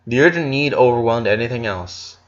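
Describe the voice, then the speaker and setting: A man's voice: medium pitch, lively intonation, brisk. A man reading a story aloud as narrator, in a dramatic scripted style. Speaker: audiobook narrator. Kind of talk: dramatic fan fiction narration. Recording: slightly dull, muffled audio.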